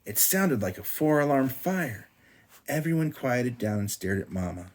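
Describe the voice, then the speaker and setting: A man reading a storybook aloud to a child as a father does at bedtime, in an animated storytelling style. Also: medium pitch, unhurried.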